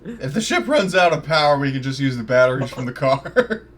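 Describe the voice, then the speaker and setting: A man's voice: mid-range voice, very animated, fairly quick, a bit bright. A man chatting casually as a player at a tabletop game, describing what his character does. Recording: faint background noise.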